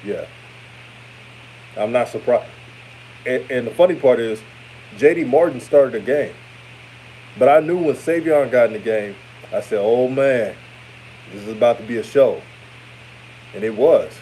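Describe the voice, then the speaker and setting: A man in his thirties, from Houston, with a deep voice that sounds older, giving casual hot takes and reading chat comments on a live stream. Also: measured pace, pauses often, a little hiss or hum, rough recording. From Houston, Texas. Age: 30s. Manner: relaxed, chatty, repeats himself, trails off. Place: his room after a game.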